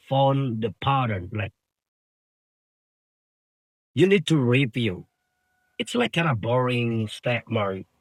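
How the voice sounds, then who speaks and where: Man, Indonesian accent, non-native speaker, slightly husky mid-pitched voice, halting, repetitive phrasing, informal monologue. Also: poor audio. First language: Indonesian, Sundanese and Javanese. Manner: halting, repeats words and phrases. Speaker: language learner giving study tips. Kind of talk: informal monologue giving advice.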